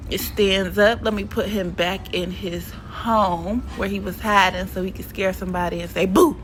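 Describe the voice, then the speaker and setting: A woman's voice: mid-range voice, steady tone, normal speed, poor audio. A woman narrates her shopping vlog in a casual, playful, slangy way. Her voice sounds stuffy and sniffly from a cold. A faint background hiss sits under the home recording. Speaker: vlogger.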